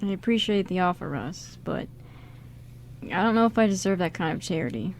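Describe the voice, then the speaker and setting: A woman's voice: fairly low pitch, animated, fairly quick. A woman playing a guarded, self-doubting male con artist in an amateur radio drama, speaking casually and a little hesitantly. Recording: background noise in amateur radio recording.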